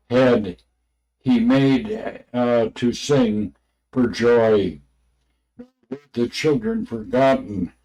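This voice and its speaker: A man reading an old religious text aloud in a halting way, stumbling over words and names. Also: mid-range voice, dark tone, rough recording.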